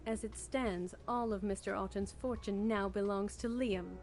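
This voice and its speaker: Actress playing composed woman, visual novel character, formal, measured, precise wording, medium pitch, background music. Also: steady tone, a little mellow, close to an everyday voice. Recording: music bed behind the voice.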